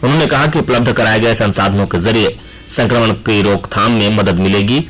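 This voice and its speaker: Husky low-pitched male news reader. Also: fairly quick, a bit bright, low-quality recording. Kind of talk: formal scripted news bulletin reading. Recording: regional radio broadcast.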